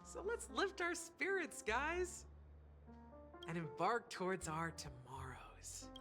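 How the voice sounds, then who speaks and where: A woman's voice: medium pitch, huge pitch swings, takes it slowly, a bit bright, soft background music, heavily acted. A woman playing an upbeat, encouraging companion in a video game, rallying friends. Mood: bright, rallying.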